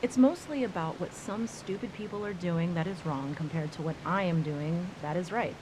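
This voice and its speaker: A woman playing a calm, discerning critic in a game audio log, speaking plainly and with consideration. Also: on the low side, normal speed, close to an everyday voice. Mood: calm, critical. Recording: hissy, rain-like background noise.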